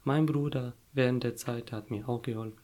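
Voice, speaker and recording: A young man whose first language is Arabic, telling his story in an interview, pausing often to search for words. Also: medium pitch, steady tone, dark tone. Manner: halting, searching for words, frequent fillers. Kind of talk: casual personal story.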